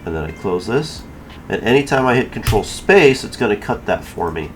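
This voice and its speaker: A man hosting a casual software tutorial, explaining each step in an informal way. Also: on the low side, big pitch swings, normal speed, faint music behind. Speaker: tutorial host. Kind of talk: casual screen-recorded software tutorial narration.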